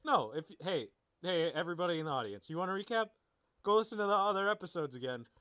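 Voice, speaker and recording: A man's voice: sits high, expressive, quick pace, bright, forceful tone. A man co-hosting a podcast with casual comic commentary, crude and mock-dramatic in his joking. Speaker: podcast co-host.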